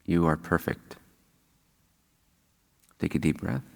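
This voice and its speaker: A man leading a guided meditation as a spiritual teacher, speaking calmly and unhurriedly with long pauses. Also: deep voice, vocal fry. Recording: long hall-like reverb.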